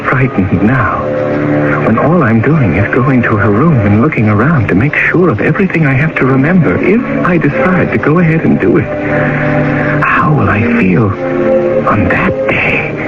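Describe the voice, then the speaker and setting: A dull old radio drama recording with prominent music. a poor young male student, brooding and nervous. His pitch swings widely as he hesitates and stammers. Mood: frightened, brooding. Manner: nervous, hesitant, muttering to himself. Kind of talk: 1970s radio drama acting.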